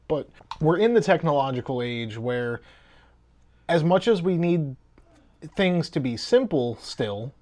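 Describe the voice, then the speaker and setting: A man's voice: medium pitch, a little mellow. A man giving a casual hands-on review as host of a video.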